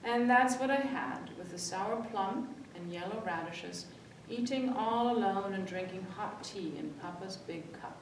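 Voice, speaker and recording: Woman doing story time for kids, expressive read, super echoey room, bit of noise. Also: measured pace. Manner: expressive, acts out the dialogue. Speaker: library story-time reader. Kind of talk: read-aloud children's storytelling. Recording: echoey room, distant mic, faint rumbly noise.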